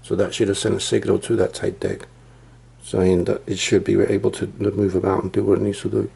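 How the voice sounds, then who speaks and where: A man's voice: lowish voice, flat delivery, a little fast, creaky, warm tone, husky. A man casually demonstrating old computer gear, thinking aloud in a rambling, self-deprecating way. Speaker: retro computer hobbyist. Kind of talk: casual hands-on demo.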